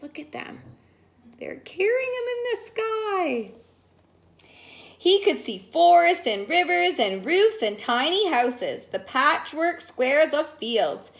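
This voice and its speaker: Woman, children's story read-aloud, high voice, swooping intonation, unhurried, expressive teacher. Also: vocal fry. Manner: expressive, sing-song, exclaiming, drawn-out words. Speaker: teacher reading a picture book aloud.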